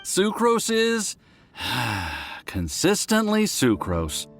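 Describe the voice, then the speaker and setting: A man's voice: on the high side, huge pitch swings, drawn-out words, a bit bright, full character voice. A man playing an awkward, sheepish young alchemy student in a video game, hesitant and earnest. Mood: resigned. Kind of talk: animated video game acting.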